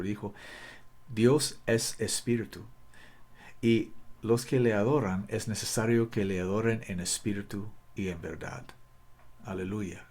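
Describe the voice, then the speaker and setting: A man whose first language is English leads a Bible study in careful, halting speech, often restarting his sentences. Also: on the low side, darkish tone. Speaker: Bible teacher. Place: Costa Rica.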